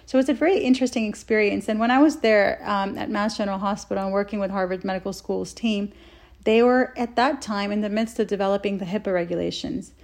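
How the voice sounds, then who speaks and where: A woman from Saudi Arabia speaks as an interview guest, her voice marked by a good deal of creak. She talks at length in an earnest, enthusiastic, conversational way, at a middling pitch. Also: quick pace, a little mellow. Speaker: interview guest, health researcher.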